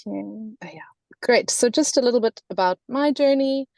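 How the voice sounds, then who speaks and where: A woman's voice: a little high, moderate pace, vocal fry, dark tone, no echo. A South African woman in her thirties presenting in a webinar, talking with many fillers and restarts. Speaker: webinar guest speaker.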